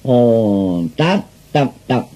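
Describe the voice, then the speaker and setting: A man's voice: mid-range voice, lively intonation, drawn-out words, dark tone, voice pushed down. A man voicing a vain, gullible old rich man in Cantonese, stammering and repeating his words. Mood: pleased, self-important.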